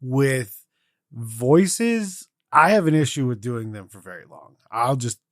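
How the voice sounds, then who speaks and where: A man co-hosting a podcast, chatting casually in a halting, self-deprecating way and circling back over his phrases. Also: medium pitch, lively intonation, fairly bright tone, dry recording, clean studio sound. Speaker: podcast co-host. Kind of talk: casual chat.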